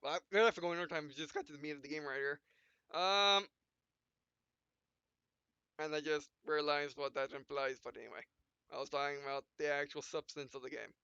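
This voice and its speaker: A man's voice: fairly high pitch, brisk, creaky, pauses often, bright, forceful tone, smooth, no echo, muffled recording. A man playing a visual novel, reading its text and punctuation aloud in a mock-dramatic way between casual remarks. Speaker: let's play gamer.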